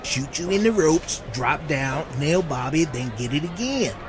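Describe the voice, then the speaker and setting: An actor playing a terse, exasperated pro wrestler calling out moves in an audio drama. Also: male voice, on the high side, expressive, measured pace, noisy background. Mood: terse, urgent.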